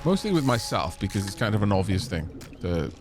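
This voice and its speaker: A man's voice: fairly low pitch, big pitch swings, fairly quick, background noise. Wry man streaming a game. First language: Welsh. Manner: chatty, wry, talks to chat. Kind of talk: casual gameplay commentary.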